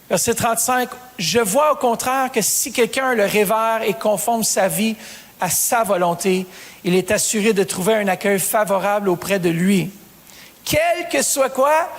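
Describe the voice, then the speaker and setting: High-voiced pastor preaching in a reverberant hall. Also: male voice, fairly bright tone. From Canada. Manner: preaching with rising emphasis and calls to the congregation. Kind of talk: sermon.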